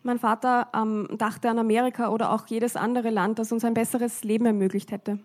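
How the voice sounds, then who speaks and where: Woman translating the preacher line by line, lots of ums, slightly high voice. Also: level tone, a little fast. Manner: translates phrase by phrase with frequent hesitation fillers. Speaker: interpreter. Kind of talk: live church interpretation into German.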